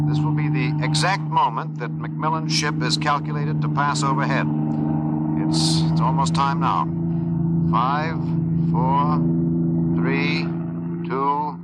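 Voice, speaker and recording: An actor playing an urgent radio reporter announcing live from a helicopter in a 1950s radio drama. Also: male voice, on the high side, takes it slowly, long pauses. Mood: urgent, excited. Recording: loud helicopter engine noise.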